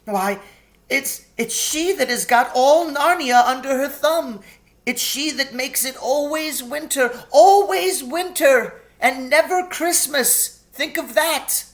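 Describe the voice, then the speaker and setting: A man's voice: extremely high pitch, pitched above the performer's own voice, exaggerated voice. A man voicing a weeping, guilt-ridden male faun in a storybook, sobbing and drawing out his self-reproaching words. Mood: anguished wailing. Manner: sobbing, wailing, drawn-out words.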